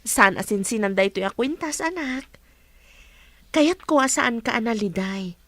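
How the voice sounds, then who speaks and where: A woman playing a tender, protective mother in a radio drama, speaking gently with a Filipino Ilocano accent. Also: a little high, lively intonation, unhurried, dry recording, heavily acted.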